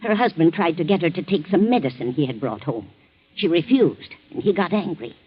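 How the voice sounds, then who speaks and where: Husky, anxious servant woman. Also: on the low side, expressive, brisk. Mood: frightened, upset. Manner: hesitant, deferential. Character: anxious, loyal female servant to a wealthy household. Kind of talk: 1940s radio acting. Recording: muffled old 1940s radio recording.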